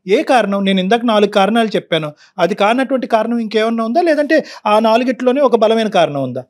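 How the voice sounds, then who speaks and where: A man's voice: high voice, expressive, fast. A middle-aged man, counselling persuasively and repeating his points for emphasis. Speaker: counsellor on a talk show. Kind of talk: counselling talk.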